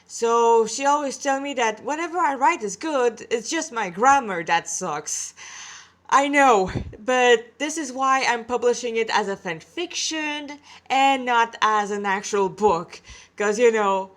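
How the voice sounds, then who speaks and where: A woman's voice: mid-range voice, a bit bright. A woman reading her own amateur fan fiction, breaking into candid, self-deprecating, chatty asides.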